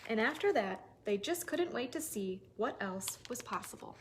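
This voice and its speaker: A woman hosting a toddler story time, reading aloud with expressive, simple phrasing for small children. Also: a little high, moderate pace. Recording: noisy recording with clicks.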